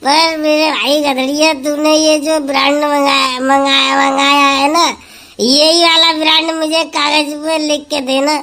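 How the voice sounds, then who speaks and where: A woman's voice: sits high, level tone, measured pace, sharp tone. A woman voicing a boastful, belligerent drunk village husband who slurs, sings and picks fights in a comic sketch. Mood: drunk bragging. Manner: slurred singing, burping, picking fights.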